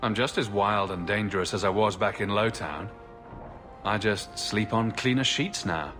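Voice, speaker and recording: An actor playing a cocky, playful adventurer hero in a video game, bantering with a romantic streak. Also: male voice, lowish voice, normal speed, music in the background, natural voice.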